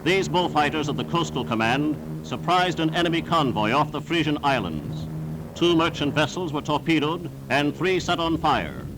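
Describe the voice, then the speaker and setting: A man's voice: on the high side, expressive, normal speed, background noise. A man reading scripted 1940s newsreel narration in a formal, emphatic, declamatory manner on a vintage soundtrack.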